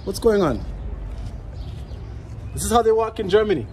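A man's voice: high-pitched, sing-song, moderate pace, a little mellow. A young man in his twenties talking casually to a handheld camera while walking through a city, rambling with fillers and restarts. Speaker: travel vlogger. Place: busy city street outdoors. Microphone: handheld camera at arm's length. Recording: street noise and traffic. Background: city traffic.

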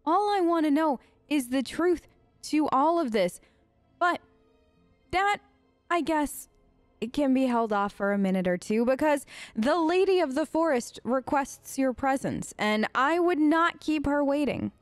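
A woman's voice: sits high, expressive, a little slow, no echo, soft background music. A woman hosting a role-play let's play, talking casually and informally as she improvises in character.

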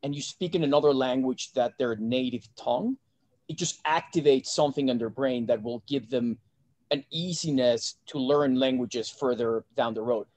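Man from Mexico, middle-aged, relaxed guest chatter, video call, dry sound. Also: mid-range voice, moderate pace, no room sound. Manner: relaxed, chatty, frequent restarts. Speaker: guest, investment bank founder. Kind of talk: casual interview over video call. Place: home office.

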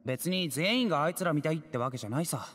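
Casting: friendly game lad, casual boyish talk, animated intonation. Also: male voice, on the high side, quick pace, slight background noise. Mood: easygoing. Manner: casual, rough boyish phrasing. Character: easygoing, friendly teenage lad, casual and curious. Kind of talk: Japanese anime game acting.

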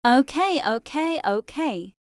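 A woman's voice: sits high, expressive, slow, no room sound, studio quality. A woman voicing a reluctant, whiny young girl in a game roleplay, in short, simple replies. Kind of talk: scripted game roleplay dialogue.

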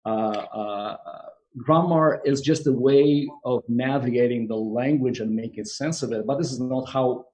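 A man's voice: mid-range voice, measured pace, darkish tone. A man speaking as a guest on a video call interview, hesitant with many fillers. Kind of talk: conversational interview.

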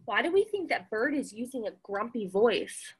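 A woman's voice: a little high, big pitch swings, normal speed, slight background noise. A woman in her twenties reading a picture book aloud to young children, expressively and with questions for them.

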